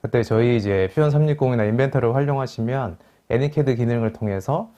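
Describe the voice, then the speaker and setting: A man co-presenting a software webinar, adding explanations and advice in a polite, conversational way. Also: medium pitch, quick pace. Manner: polite, advisory. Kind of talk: informal explanatory commentary.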